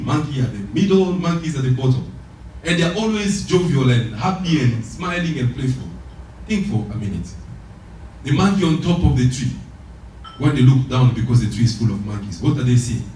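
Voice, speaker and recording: A man from the Democratic Republic of Congo with a Congolese French accent, whose first language is French, giving a lively motivational speech. He asks the audience rhetorical questions and repeats his key phrases. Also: mid-range voice, slight background noise. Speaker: motivational speaker. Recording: very echoey hall, voice from far off.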